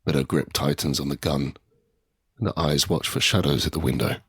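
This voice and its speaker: An actor playing a guilt-ridden survivor in an audio drama, speaking in halting, intense first person. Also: male voice, rumbling low, fairly even intonation, a bit bright, slightly rough, heavily acted. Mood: tense, hushed narration. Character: grief-stricken guilt-ridden male survivor, narrating his ordeal.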